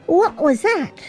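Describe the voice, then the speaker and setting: A woman's voice: very high-pitched, darkish tone, exaggerated voice. A woman playing a coy wife in a comic radio play, with short, playful replies. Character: coy, jumpy, deadpan wife playing along with flirtation. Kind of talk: stage radio play comedy acting.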